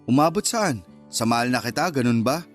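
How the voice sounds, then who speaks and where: A man's voice: mid-range voice, animated, a little fast, faint music behind, lightly acted. A man playing the wistful, sulky lover of a married woman in a Tagalog radio love drama, casual and understated. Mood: hurt, resigned.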